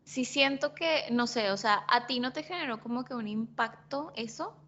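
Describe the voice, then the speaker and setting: A woman's voice: on the high side, normal speed, bright, forceful tone. A woman co-hosting a podcast, musing reflectively with many fillers. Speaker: podcast co-host. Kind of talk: casual podcast chat. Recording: dull lo-fi sound.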